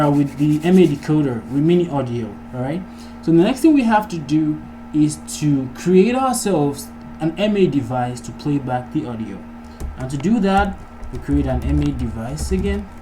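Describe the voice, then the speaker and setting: A man narrating an informal coding tutorial, casually thinking aloud as he works through each step. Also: fairly high pitch. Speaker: programming tutorial host. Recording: clearly noisy recording, keyboard typing. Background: background noise, computer keyboard typing.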